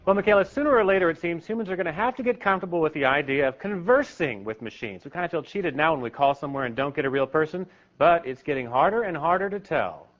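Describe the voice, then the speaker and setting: A man's voice: fairly high pitch, lively intonation, quick pace, hardly any pauses, fairly bright tone. A man working as a television news correspondent, talking live with an anchor. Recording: dull, muffled broadcast copy.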